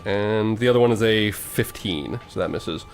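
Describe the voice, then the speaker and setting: A man's voice: lowish voice, normal speed, creaky. A man acting as game master, narrating combat in a tabletop game in a matter-of-fact, slightly hesitant way. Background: music.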